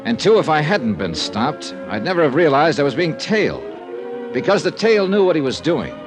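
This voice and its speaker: Wry American insurance sleuth, vintage radio crime drama, swinging expressive delivery, cocky, music in the recording. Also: male voice, a little high, moderate pace. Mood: sardonic narration. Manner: wry, wisecracking. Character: wry, cocky freelance insurance investigator, adult man. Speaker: radio drama lead and narrator. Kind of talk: 1950s American radio detective acting, first-person narration.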